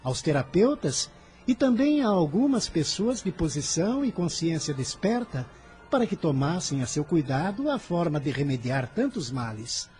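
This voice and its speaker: A man's voice: on the high side, sing-song, normal speed, a little mellow, soft background music. An actor playing an impassioned, defiant fifteen-year-old boy who defends the poor, declaiming fervently in a radio drama. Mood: indignant, sarcastic.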